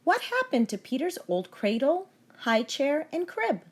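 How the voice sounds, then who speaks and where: Very animated woman reading a children's story. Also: high voice, measured pace. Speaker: story reader. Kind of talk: children's read-aloud narration.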